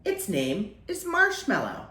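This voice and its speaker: A woman's voice: mid-range voice, huge pitch swings, takes it slowly, bright, forceful tone, roomy sound. A woman reading a children's picture book aloud as a storyteller, acting out phrases and lingering on key words.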